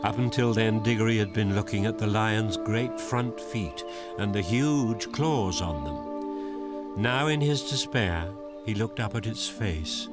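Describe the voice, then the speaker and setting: A man narrating a scripted radio drama as a storyteller, in a grave, earnest and measured way. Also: on the low side, loud music behind the voice, natural voice. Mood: grave, wondering.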